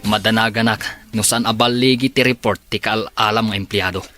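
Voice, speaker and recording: A stern company boss. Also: male voice, lowish voice, fairly even intonation, normal speed, pushed and bright, soft background music. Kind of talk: radio drama acting.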